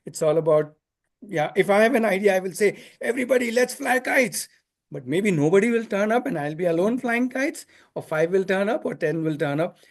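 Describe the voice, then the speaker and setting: A man leading a parents' meeting, explaining ideas in a joking, animated way. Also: high voice, brisk. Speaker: community facilitator. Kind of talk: casual discussion.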